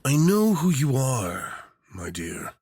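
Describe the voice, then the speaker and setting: A man performs a smug yandere tyrant in an audio roleplay, all menacing affection. His voice is husky and close in a dry space, swooping theatrically as he lingers over mock-sweet endearments. He takes his time, savoring every threat. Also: medium pitch, huge pitch swings, takes it slowly, dark tone, no room sound, voice pushed up, heavily acted. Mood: smug, doting. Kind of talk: ASMR roleplay acting.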